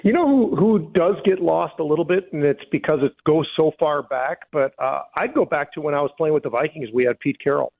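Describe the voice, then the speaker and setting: Mature male American sports analyst, lightly raised pitch, conversational and diplomatic, heard through a thin telephone-style line. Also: brisk, creaky, few pauses, no room sound. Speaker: former pro football player, sports show guest analyst. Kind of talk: casual reflective sports talk. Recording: tinny phone-like line.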